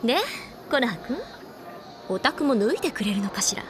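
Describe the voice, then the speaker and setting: A woman's voice: fairly high pitch, animated, brisk, noisy background, lightly acted. Woman playing a sly, teasing older schoolgirl. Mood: teasing, coy. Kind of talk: Japanese audio drama acting.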